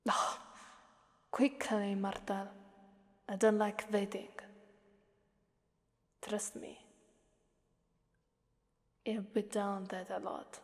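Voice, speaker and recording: A woman voicing a haughty, sadistic female Krampus demon in an ASMR roleplay, soft and often whispering, taunting and commanding. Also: mid-range voice, flat delivery, very slow, pauses often. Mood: imperious, sadistic. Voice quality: soft, breathy whispering in many lines. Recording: long cavernous reverb.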